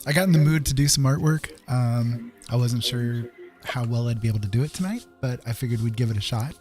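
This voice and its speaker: A man with a slightly low voice chats casually on a livestream while making art. He is easygoing and rambles, pausing as he searches for words, with music playing behind him. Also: darkish tone. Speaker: streaming digital artist and host. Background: background music.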